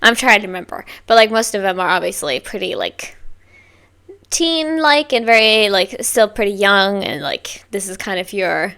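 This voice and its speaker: A woman hosting a music reaction video, talking casually and rambling with lots of filler words, with bursts of excited whoops. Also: medium pitch, moderate pace. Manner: chatty, rambling, heavy on "like". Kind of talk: casual first-listen reaction.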